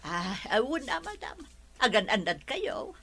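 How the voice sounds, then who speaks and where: A meek, obedient older housemaid in a radio drama, with an Ilocano Filipino accent. The voice is sharp and bright, with lively rises and falls. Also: female voice, medium pitch, unhurried, heavily acted. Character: deferential older household servant woman, obedient.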